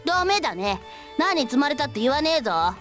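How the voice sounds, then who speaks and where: 早口で生意気な少年. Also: female voice, high voice, big pitch swings, racing, fairly bright tone. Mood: brash, defiant. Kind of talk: Japanese visual novel voice acting. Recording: music playing behind the voice.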